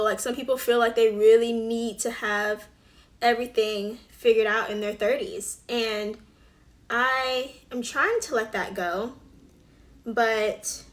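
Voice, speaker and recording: A woman's voice: fairly high pitch, slight background noise. A woman, chatting casually while doing her makeup, with lots of fillers and the odd whispered aside. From Alabama. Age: 20s. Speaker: beauty vlogger. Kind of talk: casual get-ready-with-me chat.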